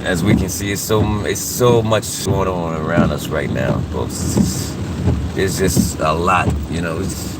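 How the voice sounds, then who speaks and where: A man's voice: low voice, measured pace. A man chatting casually with his viewers in a folksy, preachy way, a little congested from a head cold, talking from a car in the rain. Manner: folksy, preachy, calls listeners "folks" often. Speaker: vlogger chatting with live viewers. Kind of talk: casual live-stream chat. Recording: distant mic, loud rain noise.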